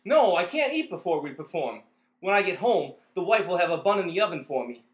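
A man playing a nervous, naive, excitable young husband in a 1930s-style radio comedy double act. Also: high voice, fairly quick. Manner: naive, gushing. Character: nervous, excitable, dim young vaudeville husband in his twenties.

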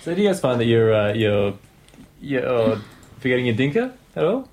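A man's voice: mid-range voice, very animated, normal speed, a little mellow. A man asking a radio show's guests questions, rambling and restarting as he goes. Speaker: radio interviewer. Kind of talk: casual interview.

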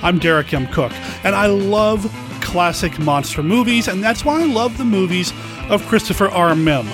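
High-voiced man presenting over music. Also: lively intonation, moderate pace. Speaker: podcast host, film segment presenter. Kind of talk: film review podcast monologue. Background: music under the voice.

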